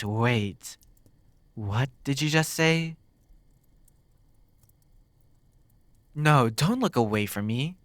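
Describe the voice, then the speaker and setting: A man playing a flirty, sardonic demon captor in an intimate ASMR roleplay, teasing and curious, drawing words out with dramatic pauses. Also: mid-range voice, dry recording. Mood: startled, intent. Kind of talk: ASMR roleplay, intimate one-sided dialogue.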